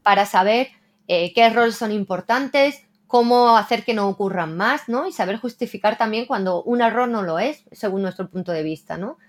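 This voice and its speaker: A woman's voice: mid-range voice, moderate pace. Reflective Spanish-speaking woman translator. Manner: reflective, frequent "¿no?" tags. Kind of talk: casual interview conversation.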